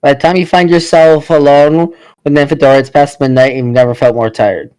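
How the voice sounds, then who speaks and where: A man's voice: fairly high pitch, fairly even intonation, brisk, a little mellow, clean tone, dry recording, rough recording. A man reading story narration aloud as a player in a party game.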